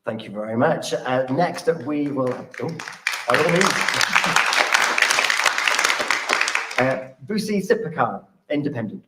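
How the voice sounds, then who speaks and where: A man's voice: mid-range voice, lively intonation, slow pace, long pauses, over a noisy crowd. A man moderating a live hustings, briefly thanking and introducing speakers. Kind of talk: live event hosting. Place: live public hustings event. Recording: echoey, very noisy crowded hall, distant mic.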